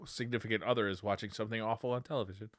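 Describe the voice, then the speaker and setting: A man's voice: on the low side, steady tone, rapid-fire, dry recording, studio quality. A man co-hosting a casual gaming chat podcast with wry, joking banter. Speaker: podcast co-host.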